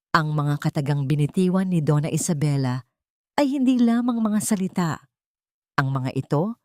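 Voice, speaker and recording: A woman's voice: lowish voice, darkish tone, dry recording, studio quality. A woman reading a scripted dramatic story as an audiobook narrator.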